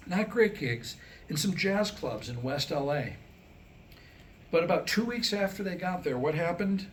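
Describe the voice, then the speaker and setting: A middle-aged man performs a comedic monologue to a live audience, with a voice that sits a little high and swings widely in pitch. He moves between one-liners and storytelling. The live recording carries some faint room noise behind him. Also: animated, measured pace. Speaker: playwright performing a staged reading.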